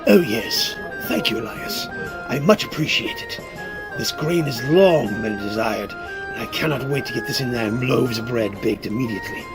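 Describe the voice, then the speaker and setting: A man's voice: mid-range voice, animated, normal speed, over music, natural voice. A man playing a rich, flamboyant goblin innkeeper in a fantasy radio drama, speaking with florid, theatrical courtesy. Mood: eager, grand.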